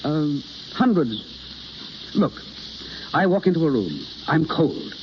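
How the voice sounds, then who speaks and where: An actor playing a suave, exacting drama teacher in a 1930s radio play, speaking in a crisp, instructive, theatrical way. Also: male voice, fairly high pitch, big pitch swings, takes it slowly. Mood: brisk instruction. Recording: muffled, hissy 1930s radio broadcast recording.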